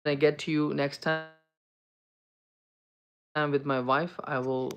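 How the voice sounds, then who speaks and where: A man's voice: a little high, little pitch movement, slow pace. A young man on a live stream, answering chat questions with earnest, reassuring advice and lots of ums and "right?".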